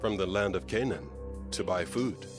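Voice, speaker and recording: An actor playing a grave, earnest eldest brother, a humble foreigner in a dramatized scripture recording. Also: male voice, deep voice, normal speed, lightly acted. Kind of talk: dramatized scripture audio acting. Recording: music behind the voice.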